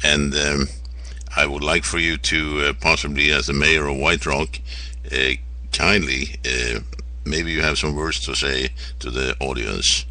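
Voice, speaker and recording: A man hosting an informal radio interview, speaking in a halting, rambling and polite way. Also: extremely low pitch, fairly even intonation, bright, forceful tone, no room sound. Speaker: radio show host.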